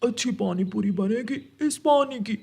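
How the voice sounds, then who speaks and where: A man's voice: sits high, normal speed, vocal fry, warm tone, husky, heavily acted. A man plays a sly old-hand crow uncle in a children's cartoon. He coaxes shamelessly and brushes every worry aside. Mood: coaxing.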